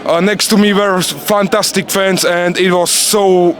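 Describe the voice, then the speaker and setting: A male football fan in a casual street interview, searching for words in a halting, giggly way. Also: sits high, flat delivery. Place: outdoors outside a stadium. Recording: loud outdoor crowd noise.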